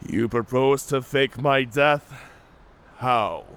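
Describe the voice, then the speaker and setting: A man's voice: medium pitch, a bit bright. A man playing a wounded, wry, talkative werewolf in human form, theatrical and bantering, in an audio drama. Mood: wary, bargaining. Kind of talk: cinematic audio roleplay acting.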